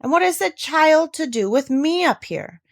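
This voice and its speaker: A woman voicing a curt, stern old mountain grandfather in a storybook reading, gruff and commanding. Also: sits high, expressive, normal speed, pitched above the performer's own voice. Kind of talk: storybook read-aloud character voice.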